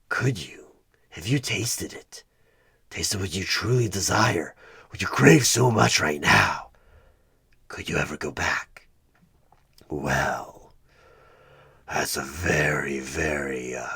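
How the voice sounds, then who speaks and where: Gravelly, very deep evil tempter. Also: male voice, lively intonation, bright, forceful tone, exaggerated voice. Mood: sly, taunting. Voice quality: gravelly, raspy, breathy half-whisper. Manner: slow, deliberate, persuasive, repeating words for emphasis. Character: sinister evil tempter offering devilish job bargains, coaxing and menacing. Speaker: job interviewer. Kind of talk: horror ASMR one-sided roleplay.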